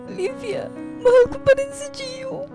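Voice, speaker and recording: Radio drama: emotional young expectant wife, very high pitch, pleading and devoted, music underneath. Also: female voice, flat delivery, moderate pace. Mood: anguished, tearful. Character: distraught pregnant young wife, forgiving and devoted.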